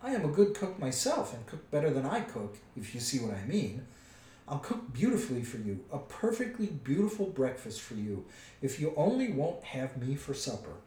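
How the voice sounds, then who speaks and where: A man's voice: medium pitch, big pitch swings, normal speed, dark tone, close to an everyday voice. A man voicing a small, timid, polite storybook hobbit who pleads and corrects himself in a fluster. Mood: pleading. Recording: roomy, distant microphone.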